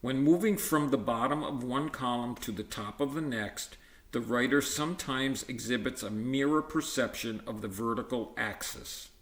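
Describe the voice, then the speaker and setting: A man reads aloud from an educational book in a roomy, echoing space. His voice sits at a medium pitch, and his delivery is measured and explanatory, with the odd stumble and self-correction. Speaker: volunteer-style book reader. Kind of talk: reading a textbook aloud with informal asides. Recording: roomy, echoey sound.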